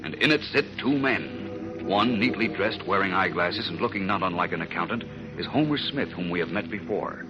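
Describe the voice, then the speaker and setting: A man's voice: low voice, lively intonation, moderate pace, lo-fi sound, music in the background. A man narrating a 1940s radio serial in a dramatic, portentous announcer's manner.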